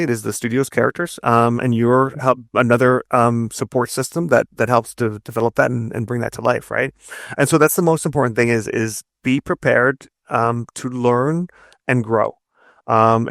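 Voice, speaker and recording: A man with a low-creaking, gravelly voice talks like an instructor in an interview. He explains emphatically, doubling back on words and tagging points with "right?", in a dry, close sound. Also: dry recording. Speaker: narrative design instructor, podcast guest. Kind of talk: conversational interview, explaining craft advice.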